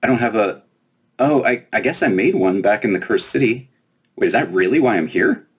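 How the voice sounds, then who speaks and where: A man's voice: fairly low pitch, lively intonation, fairly quick, breathy edge, pitched above the performer's own voice. A man voicing a confused, prickly young giant girl in a storybook, hesitant and a little sarcastic.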